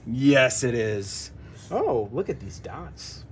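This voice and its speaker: Playful dad with a lively, swooping voice. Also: male voice, mid-range voice, takes it slowly, a little hiss or hum. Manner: playful, prompting with simple questions. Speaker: father reading to his young daughter. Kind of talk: casual read-aloud and chat with a toddler. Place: carpeted room at home.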